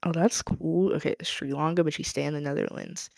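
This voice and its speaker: A woman's voice: sits low, fairly even intonation, a little fast, gravelly, a little mellow, dry recording. An American woman hosting her podcast, chatting casually and upbeat with a guest. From United States. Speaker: podcast host. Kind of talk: casual interview chat.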